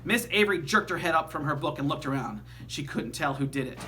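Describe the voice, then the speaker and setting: Bright-voiced man reading a children's story. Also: fairly high pitch, fairly quick, slight background noise. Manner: animated, emphatic storytelling. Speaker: teacher reading aloud to pupils. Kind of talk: expressive children's story read-aloud.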